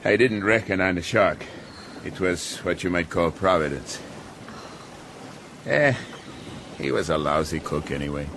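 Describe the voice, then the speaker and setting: A menacing, sardonic ship's captain in a radio play. His low voice is dry and laconic, over noisy ambience. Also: unhurried, pauses often. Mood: sardonic, callous. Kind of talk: radio drama acting.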